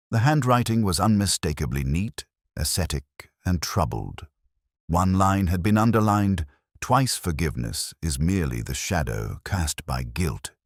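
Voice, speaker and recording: A man playing a weary, brooding police inspector who narrates a mystery in a measured, literary, melancholy manner. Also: low-pitched, no room sound, studio quality, heavily acted. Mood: grave, pensive. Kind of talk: scripted first-person mystery narration.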